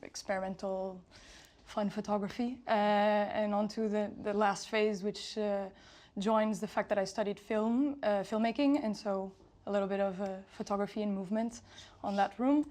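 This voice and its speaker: A woman's voice: medium pitch, fairly even intonation, moderate pace, a little mellow. A young woman from Lisbon hosting a talk at her photography exhibition, friendly and hesitant with many fillers. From Portugal, Lisbon. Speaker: host, photographer.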